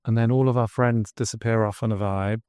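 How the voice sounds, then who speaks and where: An English man, guest on a podcast interview, telling his story as a drinks brand founder in an earnest pitch. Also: fairly even intonation, brisk, a little mellow, smooth, no room sound, studio quality. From England.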